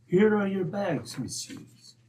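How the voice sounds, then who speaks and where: A man's voice: sits high, big pitch swings, creaky, a little mellow, recorded from a distance, a little hiss or hum, full character voice. A man playing a feeble, humble old French manservant with a French accent in a radio drama. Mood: weary, humble. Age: older. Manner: slow, feeble, deferential.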